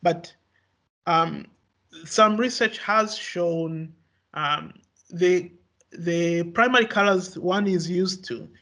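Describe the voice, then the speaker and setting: A young man whose first language is Swahili gives an academic research talk, halting often with fillers and restarts. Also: sits high, pushed and bright. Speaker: researcher presenting.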